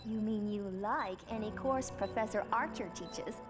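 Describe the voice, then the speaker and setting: A woman voicing a concerned, down-to-earth teenage classmate in an animated series. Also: medium pitch, normal speed, close to an everyday voice. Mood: teasing. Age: young adult. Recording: music behind the voice.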